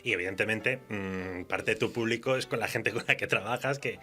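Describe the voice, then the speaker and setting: A man with an accent from Spain chatting casually as a guest writer in a radio interview, telling stories in an animated, self-mocking way. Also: lowish voice, fairly quick, faint music behind. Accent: Spanish from Spain.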